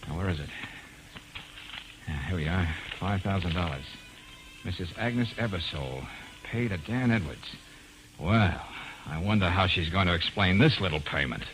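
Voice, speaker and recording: A tough American private eye with a low, forceful voice that swings between wry cracks and blunt questions. It is vintage radio drama acting on a hissy old recording. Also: male voice, animated, normal speed, pauses often, rough recording. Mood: wry, musing. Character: tough, wisecracking young male private detective.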